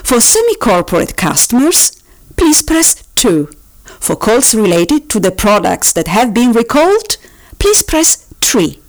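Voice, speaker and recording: A woman voicing a politely officious automated phone-menu system, reading scripted options formally with drawn-out, sing-song emphasis. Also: fairly high pitch, big pitch swings. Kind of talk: recorded phone-menu announcement, scripted parody.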